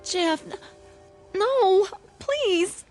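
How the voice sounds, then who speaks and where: Casting: headstrong, jittery young woman, rural American accent, higher pitch, radio play with soft music. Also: expressive, slow pace. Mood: uneasy. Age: young adult. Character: stubborn, nervous young country sweetheart. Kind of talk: radio drama acting.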